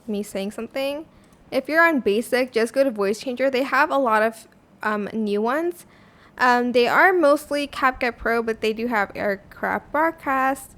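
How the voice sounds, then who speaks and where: High-voiced woman hosting a casual tutorial. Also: a bit bright. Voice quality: high-pitched, youthful-sounding voice. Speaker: tutorial host.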